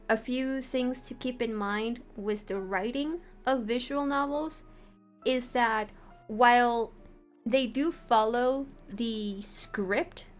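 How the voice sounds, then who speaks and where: A woman talking informally as a streamer teaching a tutorial, chatty and often checking in with her listeners. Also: fairly high pitch. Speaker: streamer teaching how to make visual novels. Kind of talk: casual livestream tutorial talk.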